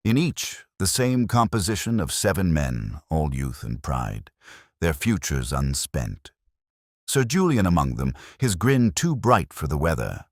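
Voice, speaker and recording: A man plays a brooding, middle-aged police inspector recounting his case in an audio drama. His voice is extremely low, with lively rises and falls within a measured, reflective delivery. He sounds weary and melancholic, recorded dry with no room around him. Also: dry recording, full character voice. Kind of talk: scripted first-person detective narration.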